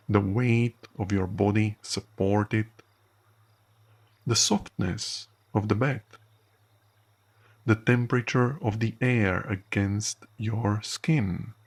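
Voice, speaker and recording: Man, hypnotherapist, sleep hypnosis narration, strong vocal fry, very unhurried delivery, soothing, measured, frequent pauses. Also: fairly low pitch. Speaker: hypnotherapist guiding a recorded session.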